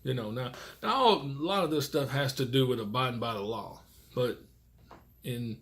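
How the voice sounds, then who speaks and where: Middle-aged man, gravelly fry in the voice, casual rambling trucker talk, folksy. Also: mid-range voice, moderate pace, fairly bright tone. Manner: folksy, rambling, mild swearing. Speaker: truck driver and vlogger. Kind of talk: casual chat to camera.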